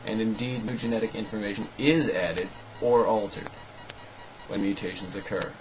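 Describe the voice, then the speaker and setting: A man with a fairly low voice delivers scripted commentary as a rebuttal. He sounds sarcastic and dismissive as he argues his points. Music plays clearly behind him throughout. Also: steady tone, normal speed, low-quality recording. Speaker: video narrator rebutting another video.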